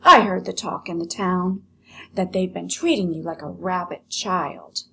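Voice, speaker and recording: A woman voicing a brash, bullying woman from a storybook, who speaks too loudly in a scornful, domineering way. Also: fairly low pitch, a little slow, gravelly, slightly rough, heavily acted. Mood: menacing. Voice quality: loud, shouted delivery.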